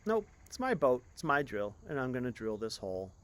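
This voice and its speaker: A man's voice: mid-range voice, very animated, a little fast, fairly bright tone. A man as a stubborn, unbothered storybook fisherman. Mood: nonchalant. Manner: nonchalant, obstinate. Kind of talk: storybook character reading.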